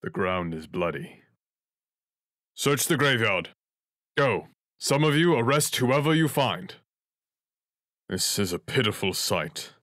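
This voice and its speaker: A man's voice: lowish voice, animated, takes it slowly, long pauses, a bit bright, dry recording, clean studio sound. A man playing a stern chief watchman in an audio drama, authoritative, giving clipped orders. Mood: commanding. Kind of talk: audio drama acting of modernized Shakespeare.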